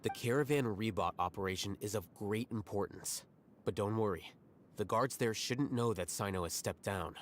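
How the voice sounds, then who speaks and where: An actor playing a calm young male scholar in a video game, reasoning in a measured, articulate, lecturing way. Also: on the low side, slight background noise, close to an everyday voice. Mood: composed, explanatory. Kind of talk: scripted video game dialogue.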